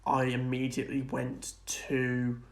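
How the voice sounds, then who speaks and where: Even-toned man in a casual podcast chat. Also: mid-range voice, measured pace, creaky. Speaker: podcast co-host. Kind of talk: casual film and TV discussion.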